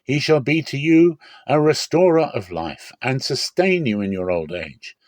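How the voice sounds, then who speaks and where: A man's voice: medium pitch, animated, a little slow, a little mellow, no room sound, voice pushed up, natural voice. A man speaks for biblical townsfolk giving a blessing. The delivery is exalted and declamatory, lifted above a narrator's register, with a dry, close sound. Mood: joyful blessing. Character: townspeople and women proclaiming a solemn joyful blessing.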